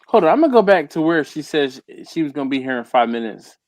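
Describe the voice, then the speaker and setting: A man's voice: on the high side, sing-song, fast. A man hosting a casual group live stream, teasing his co-host and reading viewer comments aloud. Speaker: livestream host.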